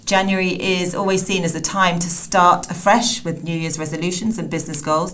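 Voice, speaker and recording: Lady podcast host, upbeat intro, a bit low voice. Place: studio.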